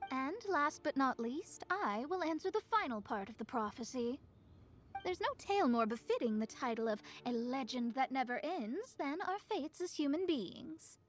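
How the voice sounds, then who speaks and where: A high-voiced, lofty young seer. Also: female voice, expressive, normal speed. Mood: solemn, proud. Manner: lofty, formal. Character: grand, solemn young woman seer. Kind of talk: scripted video game acting.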